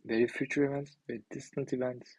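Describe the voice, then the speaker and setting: A young man from Turkey with a Turkish accent, thinking aloud in halting, filler-heavy speech while he reviews an app on screen. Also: medium pitch, level tone, darkish tone, husky, no echo, low-quality recording. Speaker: indie maker reviewing an app. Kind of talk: casual screen-share commentary.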